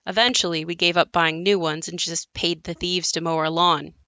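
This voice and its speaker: Woman acting a low-voiced, wry daughter remembering home. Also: steady tone, normal speed, sharp tone, clean tone, no echo. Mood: wistful. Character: wry grown daughter narrating memories of her crumbling childhood home. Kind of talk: scripted monologue in an audio play.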